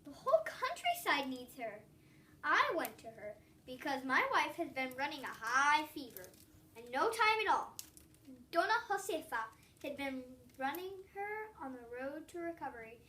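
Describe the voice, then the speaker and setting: A child of about eight reads a storybook aloud, giving an expressive reading with emphatic, stretched words. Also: high voice, moderate pace, slight background noise. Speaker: young reader of a story. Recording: distant from the microphone.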